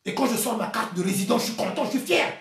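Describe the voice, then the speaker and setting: A man from the Republic of the Congo with a Congolese French accent, giving an impassioned political monologue, swinging between shouts and quiet bitter asides. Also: very high-pitched, expressive, quick pace, reverberant. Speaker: activist vlogger.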